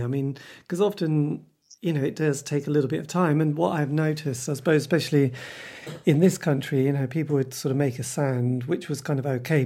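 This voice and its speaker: An English interviewer with a warm, mellow voice, pitched somewhat high for a man. He builds long, winding questions full of asides before reaching the point.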